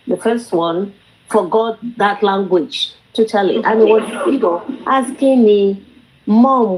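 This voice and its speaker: A middle-aged Nigerian woman, a native Yoruba speaker, chatting casually in a hesitant, halting way with a Nigerian accent. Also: mid-range voice, a little mellow, slight background noise, low-quality recording. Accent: Nigerian Yoruba-language. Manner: hesitant, halting, often says "you know". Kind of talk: casual chat.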